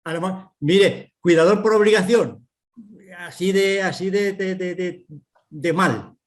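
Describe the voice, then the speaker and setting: A man with a Castilian Spanish accent giving spontaneous testimony, repeating himself in a halting, insistent way. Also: sits high. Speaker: dependent person and carer.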